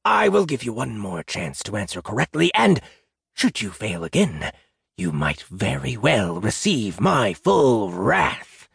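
A man voicing a pompous, needy, menacing jackal trickster in an audiobook, theatrical and drawn-out, with a raspy, gravelly voice. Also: on the low side, very animated, fairly bright tone, no room sound, clean studio sound, exaggerated voice. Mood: pompous, threatening. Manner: theatrical, drawn-out grandiose threats, sudden petulant outbursts. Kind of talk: audiobook character voice.

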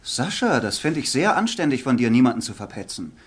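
A man's voice: mid-range voice, sing-song, a little fast, husky. A man playing a patient, good-natured schoolteacher in a children's audiobook comedy, speaking in a hesitant, questioning classroom way. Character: patient, good-natured male schoolteacher fielding pupils' jokes. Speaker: teacher in an audiobook sketch.

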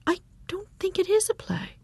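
A woman narrator voicing an excitable, nosy housewife who is easily alarmed and speaks insistently. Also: very high-pitched, moderate pace, gravelly, dry recording, pitched above the performer's own voice, heavily acted. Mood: startled.

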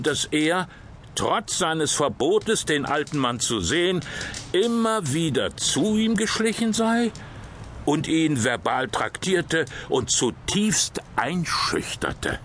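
A male actor playing a sober official who briefs a priest on a case in an audio drama, measured and slightly hesitant. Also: on the high side, fairly bright tone, a little hiss or hum, heavily acted. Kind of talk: German audio drama dialogue.

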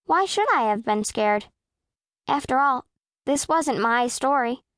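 A woman's voice: high voice, animated, a little slow, a bit bright, no room sound, studio quality. A woman voicing a proud, self-possessed eleven-year-old girl who narrates a children's audiobook in a precise, composed, matter-of-fact way. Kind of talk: first-person audiobook narration of children's fiction.

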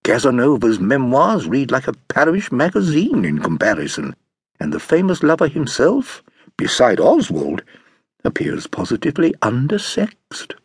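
An actor playing an urbane, amused nephew telling of his uncle, in theatrical British audiobook narration with deliberate, relishing phrasing. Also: medium pitch, huge pitch swings, slightly rough, no room sound, full character voice.

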